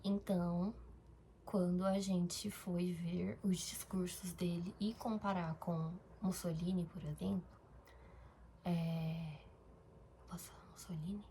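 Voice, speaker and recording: A woman's voice: fairly low pitch, steady tone, slow, mellow, slight background noise. A young woman from Brazil talking casually in a diary-style vlog, rambling, with fillers and self-corrections. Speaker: vlogger.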